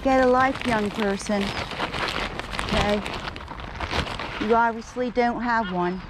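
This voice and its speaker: A woman's voice: a little high, slow. A woman narrating a casual vlog while feeding birds outdoors on a lawn beside a road, chatting to the birds and grumbling about locals. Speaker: vlogger feeding wild birds. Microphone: handheld camera held low. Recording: outdoor noise of traffic and birds.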